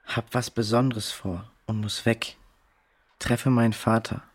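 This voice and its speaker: A man's voice: fairly low pitch, flat delivery, a little slow, husky. A male performer playing a sensible, concerned boy in a children's audio play, natural and inquisitive. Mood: curious, concerned.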